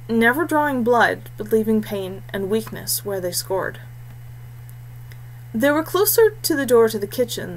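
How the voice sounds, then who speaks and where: A woman's voice: mid-range voice, a bit bright, slight background noise, rough recording. A woman reading a scripted fantasy story aloud as its narrator.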